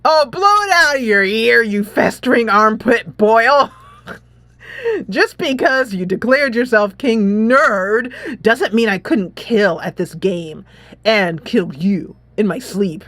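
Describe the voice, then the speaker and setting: A woman's voice: on the high side, animated, slow pace, a bit bright, no echo. A woman voicing a hostile teenage demon girl in a video game, biting and theatrical in her threats. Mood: scornful. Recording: music playing behind.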